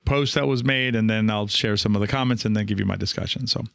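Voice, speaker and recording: A man's voice: fairly low pitch, quick pace, gravelly, a bit bright, smooth, no room sound, clean studio sound. A man hosting a coaching podcast in a casual monologue, speaking in a hesitant, rambling way as he thinks aloud. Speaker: podcast host and pickleball coach.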